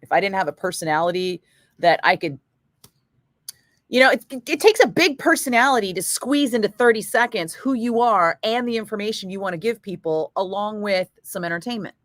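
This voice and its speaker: A middle-aged woman radio DJ chatting casually with viewers between breaks, profane and quick to laugh. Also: medium pitch, expressive, no room sound, clean studio sound. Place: radio studio.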